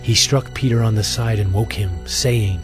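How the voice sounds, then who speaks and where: A man giving a scripted, solemn and measured narration for an audio drama of scripture. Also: lowish voice, music in the background.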